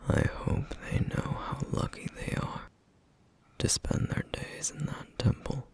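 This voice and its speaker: A man playing a gentle, duty-bound flame demon in an ASMR roleplay, speaking softly in slow, formal, wistful phrases with a rough, breathy voice. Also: lightly acted. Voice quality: breathy, whispery, rough, gravelly. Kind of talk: ASMR roleplay, intimate soft-spoken monologue.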